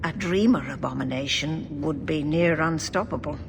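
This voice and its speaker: An actress playing a solemn, wise elf elder in a fantasy video game, explaining in a measured, grave way. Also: female voice, deep voice, gravelly. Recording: long reverb, noisy game cutscene.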